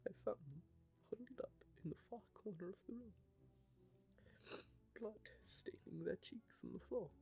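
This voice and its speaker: A man playing a young knave who tells his story in a wry, rambling way, with dark humour. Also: high voice, gravelly. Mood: grieving. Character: young male knave, wry, haunted by grief. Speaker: confessing rogue. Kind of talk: hobby audio drama monologue.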